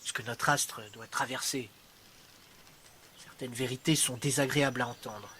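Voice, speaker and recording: A man performing a poetic monologue as a storyteller, theatrical and moving between hushed and intense delivery. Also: medium pitch, fairly even intonation, normal speed, a little hiss or hum. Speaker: writer and storyteller.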